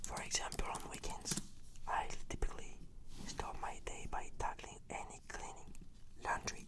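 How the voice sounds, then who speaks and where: A woman speaks in a breathy whisper, giving answers as a client in an ASMR therapy roleplay. Her delivery is measured and earnest, as if reading from a script. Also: a bit bright, dry recording.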